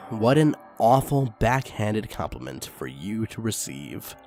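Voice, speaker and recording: A man reads a story aloud for his channel, his pitch rising and falling a lot. His asides are excitable and crude, and faint music plays underneath. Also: takes it slowly. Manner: excitable and crude in the intro, then steady reading. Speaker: channel host and reader. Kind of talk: reading fanfiction aloud.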